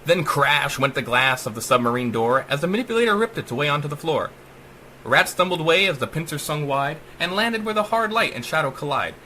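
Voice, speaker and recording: A man's voice: fairly high pitch, expressive, fairly quick, pushed and bright, a little hiss or hum. A man giving a dramatic, theatrical audiobook narration of horror verse, with a sing-song rhyming cadence. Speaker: author reading own story.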